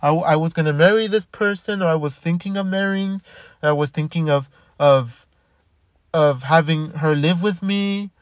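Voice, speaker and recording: A man's voice: on the high side, moderate pace, no echo. Rambling man with a dry close sound. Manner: rambling, frequent restarts and repeated words. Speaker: commentator. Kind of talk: casual monologue.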